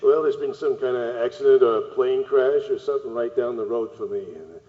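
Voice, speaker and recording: A thin, phone-like line carries a flustered American basketball pundit. He is reluctant and halting in this radio drama, his voice trailed by reverb. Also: medium pitch. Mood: uneasy. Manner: hesitant, halting. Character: flustered, reluctant male basketball insider calling in. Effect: telephone line. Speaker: phone-in guest.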